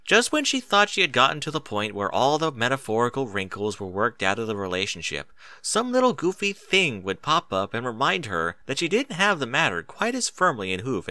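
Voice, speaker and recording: A man reading a story aloud as an expressive narrator. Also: medium pitch, no echo. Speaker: narrator of a fan fiction reading.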